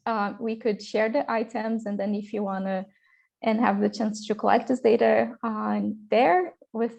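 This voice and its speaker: A woman's voice: medium pitch, vocal fry, darkish tone. A woman presenting research in an online academic panel, talking with hesitant fillers and restarts.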